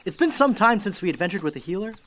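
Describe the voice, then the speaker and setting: A man's voice: high-pitched, big pitch swings, quick pace, a bit bright, heavily acted. A man playing a glib, greedy roguish adventurer in a fantasy audio drama, with a wordy, theatrical way of talking. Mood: teasing.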